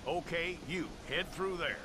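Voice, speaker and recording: Slow, grudging Wild West lawman played by a man. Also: on the high side, background noise, natural voice. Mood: businesslike, bossy. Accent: American. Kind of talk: video game cutscene acting.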